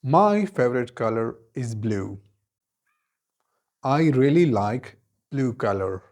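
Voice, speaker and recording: A man, teaching an English lesson and giving clear, deliberate model answers, each repeated in several forms. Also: medium pitch, lively intonation, darkish tone. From Khyber Pakhtunkhwa, Pakistan. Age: 20s.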